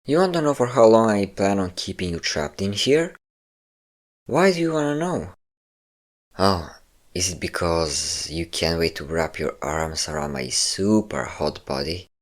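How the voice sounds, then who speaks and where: A man playing a possessive, mocking vampire lord in an intimate audio roleplay, with a smug, teasing manner and drawn-out endearments. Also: deep voice, expressive.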